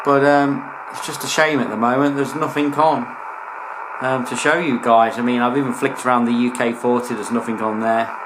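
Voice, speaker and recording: A man's voice: mid-range voice, little pitch movement, moderate pace, background noise. A British man giving a casual, chatty video review as a hobbyist, using informal slang. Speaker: radio hobbyist reviewing his collection.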